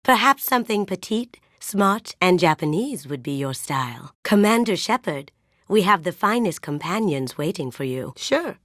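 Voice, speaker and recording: A woman's voice: on the low side, sing-song, moderate pace, a bit bright, no echo, clean studio sound. An actress playing a sly, playful young woman master thief in a sci-fi video game, with a teasing, lightly wry manner. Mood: inviting, smooth, then sly, friendly.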